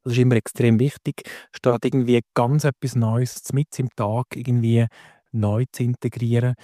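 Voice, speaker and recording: A man's voice: mid-range voice, level tone, normal speed, mellow, no echo, clean studio sound. A man from Valais with a Valais Swiss accent, a coach explaining things conversationally as a podcast guest. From Valais, Switzerland.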